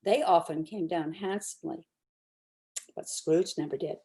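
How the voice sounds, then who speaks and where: A woman reading a classic story aloud as narrator, with expressive, emphatic dramatic delivery. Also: on the low side, unhurried.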